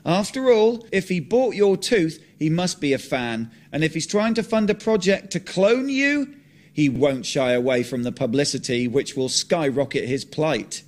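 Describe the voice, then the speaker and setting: A mouthy, scheming mate with a slightly high voice, put on by a male narrator. He teases and plots with brash confidence. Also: animated, moderate pace, darkish tone, lightly acted. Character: blunt, scheming male friend and helper.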